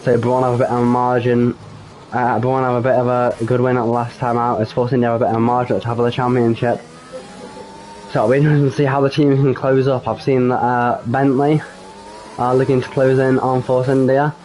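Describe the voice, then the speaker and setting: A man calling an online race on his own, his voice a little croaky with a cold. He talks in a halting way, full of ums and quick corrections, at a middling pitch. A faint hum sits behind him. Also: flat delivery, a little mellow, poor audio. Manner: hesitant, frequent ums and self-corrections. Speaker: sim racing league commentator. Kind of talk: solo live race commentary.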